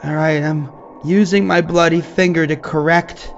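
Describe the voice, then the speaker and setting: An actor playing an anxious, self-doubting ordinary man facing horror in an audio drama, talking haltingly like someone leaving a recorded message. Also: fairly high pitch, fairly even intonation, dull audio, a little hiss or hum, close to an everyday voice. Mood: tense, determined. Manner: halting, nervous, conversational.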